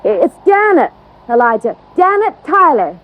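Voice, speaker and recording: A woman's voice: sits high, very animated, a little slow, a bit bright, heavily acted. An actress playing an earnest, anxious young American woman, loyal and pleading, in a 1950s radio drama. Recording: muffled, hissy vintage radio recording.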